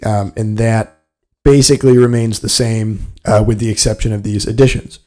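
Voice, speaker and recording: Even-toned man hosting a sports podcast. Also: on the low side, moderate pace. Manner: matter-of-fact, explanatory. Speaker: podcast host. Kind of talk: solo sports business podcast commentary.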